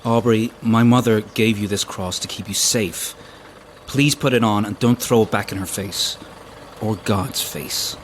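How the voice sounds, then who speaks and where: An actor playing an earnest, proper young newlywed husband in an audio drama, mild and natural in manner. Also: male voice, on the low side, measured pace, natural voice. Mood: chiding. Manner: mild, prim, gently teasing. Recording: faint vehicle noise.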